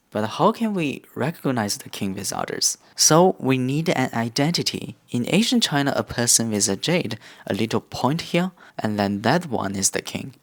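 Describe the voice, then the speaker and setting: Lively young man teaching. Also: medium pitch. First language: Korean. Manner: lively, explains step by step. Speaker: language teacher. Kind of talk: explanatory video lesson.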